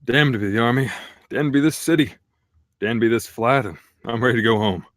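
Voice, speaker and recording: A man's voice: mid-range voice, normal speed, a bit bright, no room sound, studio quality, natural voice. A man reading the part of an awkward, earnest shepherd in a screenplay table read. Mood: fed up. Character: awkward, eager male shepherd.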